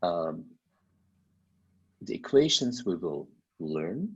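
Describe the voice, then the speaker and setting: Middle-aged guy lecturing over a video call, deep husky voice, slow and halting with lots of uhs, muffled lo-fi audio. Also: sing-song, a little mellow. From Germany. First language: German. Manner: halting, searching for words, many fillers. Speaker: university lecturer. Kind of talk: online university lecture.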